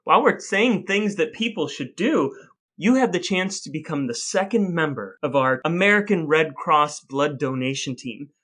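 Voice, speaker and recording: A man's voice: a little high, a bit bright. A man co-hosting a chatty podcast in a playfully mock-formal way. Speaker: podcast co-host.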